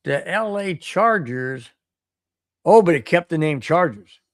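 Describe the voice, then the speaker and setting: Riled-up man ranting solo, voice going hoarse. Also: fairly high pitch, measured pace, dry recording, clean studio sound. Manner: animated, repeats himself, riled up. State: voice getting hoarse. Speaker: podcast host. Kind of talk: solo livestream rant reading chat.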